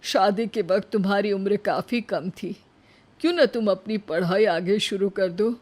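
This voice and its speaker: A woman's voice: mid-range voice, normal speed, darkish tone, natural voice. A woman voicing a caring elderly father-in-law in a moral story, fatherly and persuasive. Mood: encouraging. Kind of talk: animated moral-story acting.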